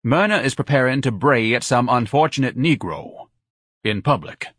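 A man's voice: medium pitch, animated, moderate pace, no room sound, studio quality, voice pushed up. A man doing a pompous, indignant grown son. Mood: sneering. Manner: grandiloquent, huffy, loud.

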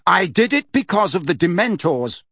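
A man's voice: high voice, very animated, normal speed, fairly bright tone, dry recording, clean studio sound, voice pushed up, heavily acted. A man reading aloud, voicing an impatient, insistent fifteen-year-old boy on trial.

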